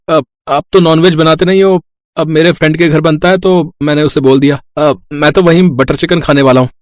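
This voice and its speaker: A man's voice: fairly high pitch, no echo, studio quality, lightly acted. Picky, whiny college-age son, acted by a man.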